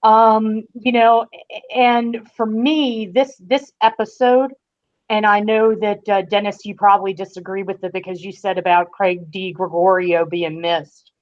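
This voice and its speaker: A woman talks through a TV review as a guest, in an unhurried, emphatic way. She takes her time between phrases. The sound is dull and lo-fi, like a call. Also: mid-range voice, steady tone. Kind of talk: casual TV review discussion.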